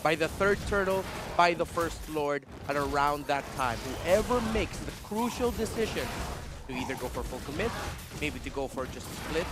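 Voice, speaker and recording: An excitable man from the Philippines calls a live esports match. His voice sits on the high side for a man, and he draws words out for dramatic weight. Music plays faintly underneath his commentary. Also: normal speed. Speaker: esports shoutcaster.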